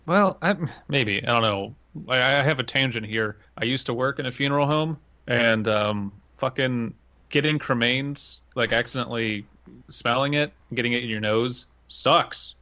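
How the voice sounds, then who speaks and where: Bright-voiced man in casual podcast chat. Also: mid-range voice, normal speed, dull audio. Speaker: podcast co-host.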